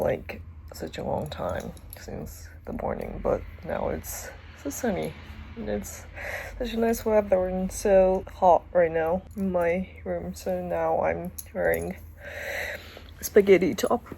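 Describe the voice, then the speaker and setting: A woman's voice: fairly low pitch, animated, takes it slowly, creaky, dark tone, a little hiss or hum, low-quality recording. A young woman with a Japanese accent, a language teacher chatting casually in a vlog, hesitant and self-deprecating. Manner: hesitant, self-deprecating, full of "like" and "I don't know".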